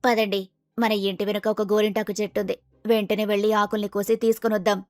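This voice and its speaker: Flat-toned nagging wife, acted by a woman. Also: medium pitch, brisk, no echo, faint music behind, lightly acted. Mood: insistent. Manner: insistent. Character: middle-aged wife, nagging and insistent.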